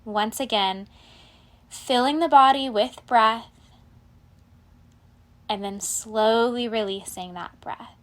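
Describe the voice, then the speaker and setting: A woman leading a guided relaxation meditation in a calm, measured, soothing way, letting her phrases end softly. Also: fairly high pitch.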